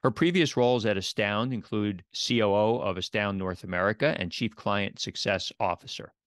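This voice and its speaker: A man with a fairly deep voice hosts an interview podcast. He speaks in a measured, presenter's manner. The sound is very dry, with little room around him. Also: a bit bright, no room sound. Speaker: podcast host. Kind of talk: scripted podcast intro and interview.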